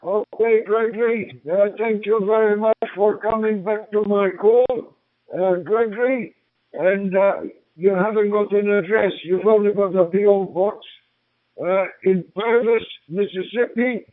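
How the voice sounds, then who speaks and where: Male, ham radio operator, slow delivery, courteous, repetitive, narrow tinny band. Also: sits high, steady tone, no echo. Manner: courteous, hesitant, repeats names and call signs. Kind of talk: ham radio contact, call signs and greetings. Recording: tinny phone-like radio transmission.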